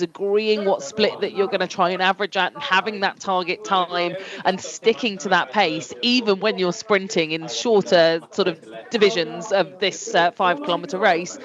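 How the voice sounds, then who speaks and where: A woman's voice: mid-range voice, highs cut off. A woman giving rowing analysis as co-commentator, reflective, with many fillers.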